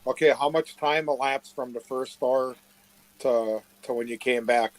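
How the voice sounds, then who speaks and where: A man's voice: medium pitch, steady tone, measured pace, low-quality recording. A man answering questions in a casual live chat, rambling and plain-spoken. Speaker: interview guest.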